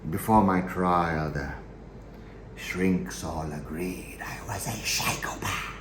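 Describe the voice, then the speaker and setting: Male actor as a cold, matter-of-fact killer, theatrical monologue. Deep voice, deliberate pauses, hushed to forceful, roomy recording with faint noise. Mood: quiet menace. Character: chillingly matter-of-fact male psychopathic killer, darkly amused. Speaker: monologue performer.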